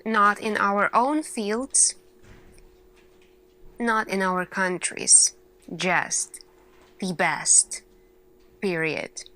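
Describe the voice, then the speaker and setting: A woman playing an earnest lead scientist in an ASMR roleplay, speaking deliberately in short phrases with dramatic pauses. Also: mid-range voice, steady tone, pushed and bright, low-quality recording. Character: earnest female lead scientist addressing her team. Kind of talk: slow ASMR roleplay monologue.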